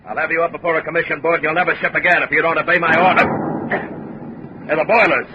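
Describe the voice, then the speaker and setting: An actor playing a gruff, domineering ship's officer, curt and threatening, in a 1950s radio drama. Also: male voice, a little high, steady tone, fast, close to an everyday voice. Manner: curt, commanding, dismissive. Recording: muffled 1950 radio recording, faint noise.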